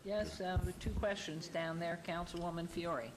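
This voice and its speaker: Brisk mayor chairing a meeting. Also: female voice, lowish voice, steady tone, noisy background. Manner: brisk, procedural.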